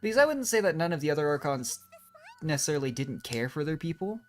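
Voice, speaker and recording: Very low-voiced woman streamer thinking aloud. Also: expressive, fast talker, slight background noise. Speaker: game streamer. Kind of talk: casual chatty game commentary.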